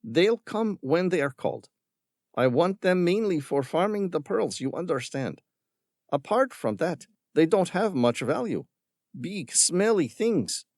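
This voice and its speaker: A man reading an audiobook puts on a greedy villain with a gun, sarcastic and patronizing, with an affected Spanish-tinged lilt. The voice sits well above the reader's own and swoops lively as it mocks. It is recorded close and dry. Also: a little high, unhurried, no room sound, voice pushed up, exaggerated voice. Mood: sneering. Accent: affected Spanish-tinged accent. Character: sneering, greedy male villain caretaker with gun. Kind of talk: audiobook character voice.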